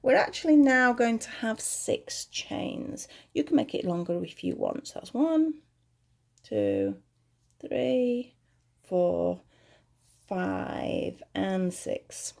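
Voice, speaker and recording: Lively, slightly croaky British woman. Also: mid-range voice. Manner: friendly, rambling, talks while working. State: a little croaky. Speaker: crochet tutorial host. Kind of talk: chatty step-by-step tutorial.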